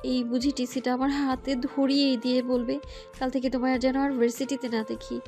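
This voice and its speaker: A woman's voice: sits high, little pitch movement, normal speed, a little mellow, soft background music. A woman playing a feisty, hot-tempered young student in an audio story, grumbling dramatically. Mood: panicked pleading. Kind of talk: Bengali audio story acting.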